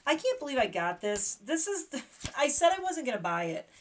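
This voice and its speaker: A woman hosting a casual makeup livestream, chatty and excitable, laughing often. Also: high voice, a little fast, slight background noise. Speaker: beauty livestream host.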